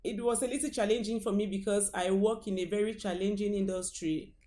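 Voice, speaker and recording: A woman's voice: medium pitch, steady tone, fairly quick, warm tone, slight background noise. A woman in her thirties with a Cameroonian accent, answering as an interview guest in short, emphatic, listed phrases. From northwest Cameroon. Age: 30s. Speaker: guest, fashion designer and business founder. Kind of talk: interview answers about own experience. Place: indoor studio.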